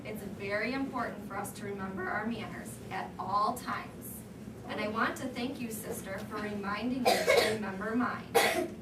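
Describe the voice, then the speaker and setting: A woman's voice: mid-range voice, normal speed, roomy sound, recorded from a distance, heavy background noise, close to an everyday voice. A woman voicing a self-important father bear from a storybook, lecturing about manners. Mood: polite, pompous.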